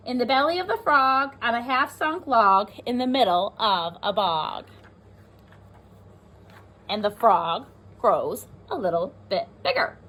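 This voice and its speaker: Animated, slow-paced woman reading to young children. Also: high voice. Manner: expressive, sing-song, addresses young children, stresses rhyming words. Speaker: pre-K teacher reading aloud. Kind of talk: animated children's read-aloud with asides.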